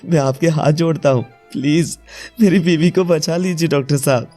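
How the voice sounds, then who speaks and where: Man acting a mellow, earnest young father. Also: on the high side, normal speed, soft background music, close to an everyday voice. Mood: desperate pleading. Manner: earnest, pleading. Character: young husband and father, devoted, emotional, desperate. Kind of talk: dramatised Hindi moral story acting.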